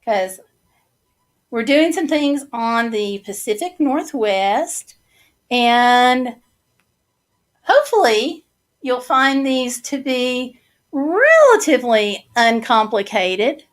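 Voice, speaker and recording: A woman hosting a casual livestream and reading out viewer comments, talking slowly with many fillers while her voice is going hoarse. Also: a little high, big pitch swings. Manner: slow, chatty, many fillers. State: losing her voice, throat clearing. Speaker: livestream host.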